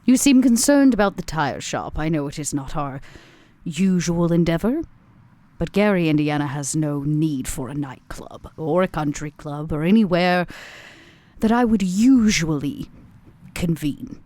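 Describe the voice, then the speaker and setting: Woman playing a low, mellow, commanding vampire schemer. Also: animated, dry recording. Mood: assured, scheming. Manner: formal, deliberate, emphatic phrasing. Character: shrewd, commanding, elegant female vampire schemer.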